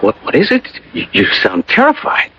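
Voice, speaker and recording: An actor playing a breezy, disbelieving husband in an old-time radio drama, talking in casual slang. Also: male voice, sits high, wildly swooping pitch, normal speed, pushed and bright, heavily acted. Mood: puzzled. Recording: dull vintage radio broadcast with clear noise.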